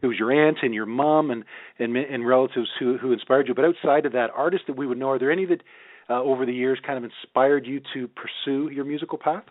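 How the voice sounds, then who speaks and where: A man's voice: medium pitch, steady tone, fast, no room sound. A man interviewing a guest in a conversational, encouraging way. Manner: affirming, encouraging. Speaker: radio interviewer. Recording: narrowband phone-like radio sound.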